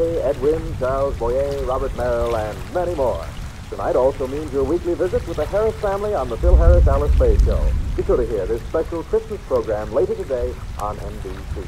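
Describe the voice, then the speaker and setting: A man's voice: medium pitch, low-quality recording. A man announcing in a polished, formal, promotional 1950s American network radio style. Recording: old radio broadcast with music. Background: music, rain and water sounds.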